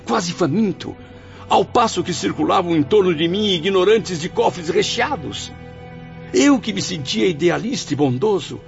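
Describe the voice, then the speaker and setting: A man's voice: high voice, creaky, heavily acted. An actor playing a bitter, proud, anguished man in a Brazilian radio drama, declaiming his self-pity rhetorically. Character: tormented male suicide, bitter, proud, anguished, self-pitying. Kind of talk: melodramatic radio drama acting. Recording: muffled radio drama with faint music.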